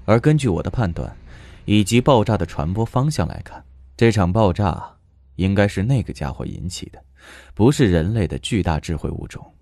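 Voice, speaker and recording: An actor playing a brilliant, eccentric scientist in an audio drama, playfully curious and precise, faintly unsettling. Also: male voice, lowish voice, lively intonation, moderate pace, darkish tone, no room sound. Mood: analytical, intrigued. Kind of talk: Chinese audio drama acting. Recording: music under the voice. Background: background music.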